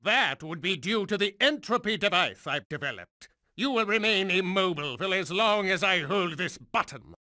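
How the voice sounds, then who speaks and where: An actor voicing a megalomaniac mutant genius supervillain in a video game, condescending, mocking and theatrical like a cruel schoolmaster. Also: male voice, high voice, animated, moderate pace, few pauses, bright, forceful tone, no echo, studio quality, full character voice. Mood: smug, taunting. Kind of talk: theatrical cartoonish game voice acting.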